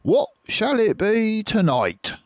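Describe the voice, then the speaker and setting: A man voicing a clever, debonair fox who talks smoothly and courteously to his wife in a storybook. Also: sits high, huge pitch swings, slow pace, dry recording, clean studio sound, voice pushed up, exaggerated voice. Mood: fond, jaunty. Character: clever, debonair male fox, fond husband.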